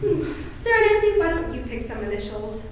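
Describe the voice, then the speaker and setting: A fussy, emphatic married hostess, acted by a teenage girl in a stage play. Her voice is very high and lively. It is heard from far off in a very echoey space. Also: a little fast. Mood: eagerly cheerful. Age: teens. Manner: gushing, scolding, emphatic. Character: bossy, fussy, matchmaking married woman hostess. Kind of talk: amateur stage acting. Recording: echoey stage, distant mic, faint noise.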